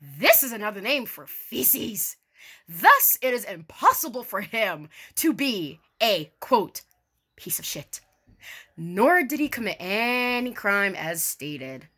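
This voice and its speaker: A woman's voice: medium pitch, sing-song, slow, sharp tone, husky. A woman doing a mock-formal dramatic reading with casual, sarcastic, sweary asides in a theatrical manner. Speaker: online video creator doing a dramatic reading.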